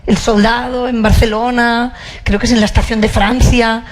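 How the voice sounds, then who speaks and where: A woman's voice: fairly high pitch, flat delivery, normal speed. A woman interviewing an author about her book, attentive and ready with short affirmations.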